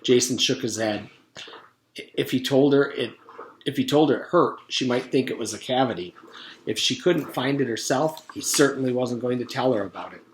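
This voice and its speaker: A man's voice: medium pitch, normal speed. A man in his forties, a teacher, reading a children's story aloud with casual recaps. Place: room at home. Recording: roomy echo, background noise.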